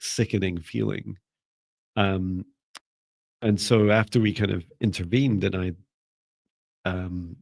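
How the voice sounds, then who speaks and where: A man teaching a live online spiritual class, speaking in a calm, gentle, soft-spoken way and pausing often to restart his sentences. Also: low voice, vocal fry, husky, dry recording. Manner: hesitant, with repeated restarts. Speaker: spiritual mentor. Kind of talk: live online class, reading viewer comments.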